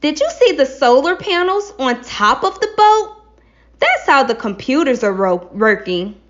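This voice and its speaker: High, lilting, earnest schoolchild, read by a woman. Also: very animated, unhurried. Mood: eager. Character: earnest schoolchildren reciting lines in a classroom play. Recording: slightly dull lo-fi recording.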